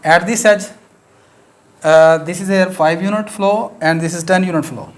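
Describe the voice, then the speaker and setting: Urdu-accented man explaining a maths lecture. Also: sits high. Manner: measured, explaining step by step with frequent "uh" fillers.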